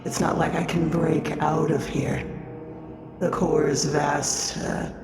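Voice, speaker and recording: Audio drama entity, male actor, higher-set voice, unhurried and condescending, ominous collector of souls, echoey. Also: little pitch movement, music in the background. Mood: condescending calm. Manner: condescending, unhurried, articulate, quietly menacing. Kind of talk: scripted audio drama dialogue.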